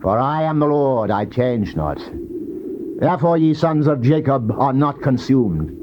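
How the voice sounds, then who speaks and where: An actor playing a stern God of Israel speaking judgment, in a solemn, declamatory dramatized scripture reading. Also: male voice, mid-range voice, expressive, a little slow, natural voice. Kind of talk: dramatized scripture reading, classical stage delivery. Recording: muffled, noisy old cassette tape.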